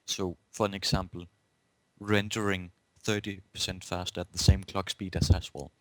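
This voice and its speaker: A man's voice: low-pitched, flat delivery, normal speed, no room sound, poor audio. A man with a Danish accent, a non-native speaker co-hosting a casual tech podcast in a plain, matter-of-fact way. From Denmark. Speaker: podcast co-host.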